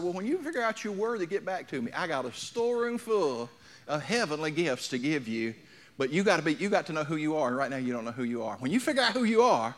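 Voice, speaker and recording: A man in his sixties preaching a sermon in a folksy way, telling anecdotes and putting questions to his listeners. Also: fairly high pitch. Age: 60s. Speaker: pastor preaching. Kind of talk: sermon to a congregation.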